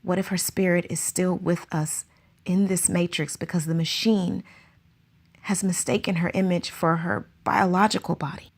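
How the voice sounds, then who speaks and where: A woman's voice: fairly low pitch, fairly even intonation, normal speed. A woman playing an anxious, earnest circus teacher in a radio drama, asking question after question.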